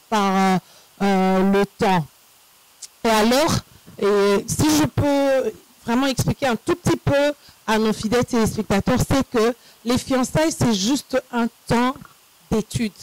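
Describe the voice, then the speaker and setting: Slow, measured woman hosting a faith talk show. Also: fairly high pitch, dry recording, rough recording. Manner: slow, measured, with frequent hesitations. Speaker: host.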